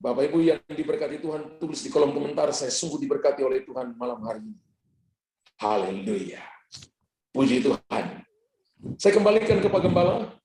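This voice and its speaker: A man, a pastor from Ambon, speaking over a video call in earnest, declaring prayer ministry that slows on key words. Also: on the high side, expressive, dark tone, reverberant, rough recording. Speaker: guest pastor.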